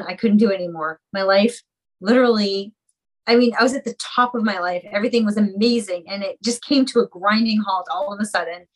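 A woman talks openly and earnestly in an interview, her mid-range voice carrying long, heartfelt stretches without pause. She is a mother and singer, sharing her story as a guest. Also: medium pitch, low-quality recording. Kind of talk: personal interview conversation.

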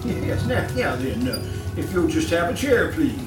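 Radio play swindler: fussy, ingratiating, stammering chuckler. Distant live-stage sound, music behind. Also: male voice, fairly high pitch, very animated, normal speed, reverberant. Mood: oily, fussy. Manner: stammering, repeating words, nervous chuckling. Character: fussy, smarmy, chuckling male swindler posing as philanthropist employer. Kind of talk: old-time radio drama acting.

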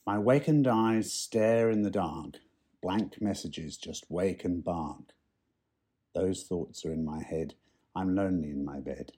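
A man with a husky voice giving a poetry reading. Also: deep voice, dark tone. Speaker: poem reader.

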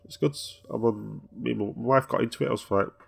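A man co-hosting a podcast, telling rambling, relaxed stories in casual chat. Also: mid-range voice, quick pace, gravelly, husky. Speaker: podcast co-host.

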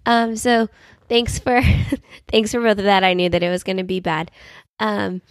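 Chatty young woman, gravelly voice. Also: medium pitch, little pitch movement, normal speed, no room sound, clean studio sound. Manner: chatty, earnest, lots of fillers like "um" and "like". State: recovering from a sinus infection, voice cutting in and out. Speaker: podcast host. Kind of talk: casual devotional podcast talk.

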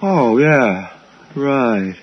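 Dazed groggy patient guy, super slow, voice wandering up and down, old radio play. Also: medium pitch, lively intonation. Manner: halting, vague. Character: groggy, confused male dental patient. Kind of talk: 1980s radio drama acting. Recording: dull old radio recording.

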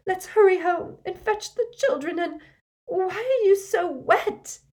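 A woman voicing a frantic middle-aged witch, an anxious wife pleading in a shrill, breathless rush. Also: animated, unhurried, a little mellow.

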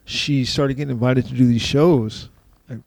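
A man chatting casually, telling drawn-out anecdotes with dry asides. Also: mid-range voice, fairly even intonation, darkish tone, no room sound. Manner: gravelly, drawling anecdotes with asides. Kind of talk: casual chat.

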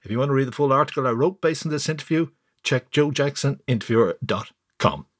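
Irish man, sixties, scripted host introduction, formal phrasing, mid-pitched, dry recording. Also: lively intonation, quick pace, no room sound. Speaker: journalist and podcast host.

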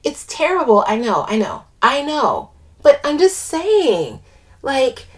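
Lady doing a loudmouth dramatic gal pal, swears tons, super animated and high, audiobook dialogue. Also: slow, heavily acted. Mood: amused shock. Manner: sweary, exclamatory, stammers when flustered. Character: brash, foul-mouthed, dramatic woman friend, alarmed and blunt.

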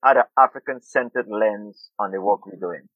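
A man's voice: on the low side, moderate pace, dry recording. Middle-aged guy from guyana, thoughtful, repeats himself a lot, dry tinny phone sound. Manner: thoughtful, repeats words while searching. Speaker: urban farmer and food activist. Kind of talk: interview guest.

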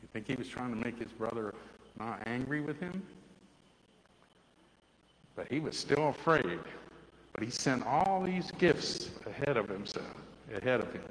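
Man, loud voice, children's Bible teacher, questioning kids; long reverb, faint ambient noise. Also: mid-range voice, animated, measured pace, long pauses. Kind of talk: Bible story told to children, then a hands-on activity.

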